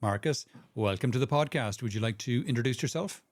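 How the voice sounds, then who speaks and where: A man's voice: mid-range voice, fairly quick, dry recording, clean studio sound. A man hosting a podcast, asking his guest conversational follow-up questions. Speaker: podcast host. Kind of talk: podcast interviewing.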